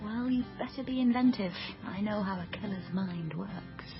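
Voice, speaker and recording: An actress playing an impulsive, awkward young amateur sleuth in a naturalistic radio drama, halting and emotionally open. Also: female voice, medium pitch, lo-fi sound, over music, close to an everyday voice. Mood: playful. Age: young adult.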